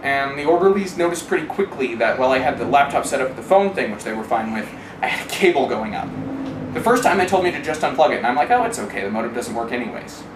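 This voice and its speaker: A man's voice: mid-range voice, lively intonation, quick pace. A man giving a candid first-person account in a wry way, casually swearing and acting out remembered exchanges. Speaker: interviewee telling a personal story. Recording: roomy, distant mic, clear background noise.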